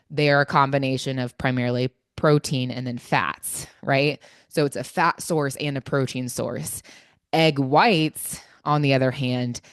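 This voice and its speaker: A woman's voice: rumbling low, no echo, studio quality. A woman hosting a casual solo podcast on nutrition, sounding stuffy and congested, chatty and informal with lots of fillers. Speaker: podcast host and fitness coach.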